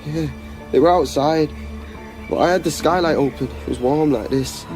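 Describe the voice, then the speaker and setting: An actor playing a distraught, betrayed teenage son in a naturalistic radio drama, halting and emotionally raw. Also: male voice, on the high side, a little mellow, faint music behind, natural voice. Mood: haunted, guilty.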